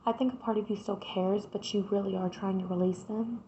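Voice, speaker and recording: A woman, hoarse from a cold, doing a casual tarot reading and chatting straight to her viewers. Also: medium pitch, steady tone, a little fast, dark tone, dull audio, slight background noise. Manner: chatty, addresses viewers directly with repeated "right?". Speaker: tarot reader.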